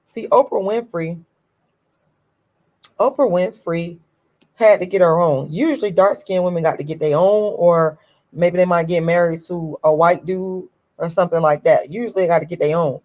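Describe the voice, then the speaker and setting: A woman with a hoarse, deep voice, talking bluntly and emphatically. She repeats her key points in a casual opinion monologue, and her voice sits fairly low. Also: normal speed, highs cut off. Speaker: YouTube commentator.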